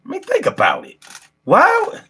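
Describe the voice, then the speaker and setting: Male streamer, fifties, brash and slangy, forceful bright voice with big pitch swings. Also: unhurried, slight background noise. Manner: brash, heavily profane, slangy street talk; animated, repeats phrases for emphasis. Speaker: livestream host. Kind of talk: casual livestream chat.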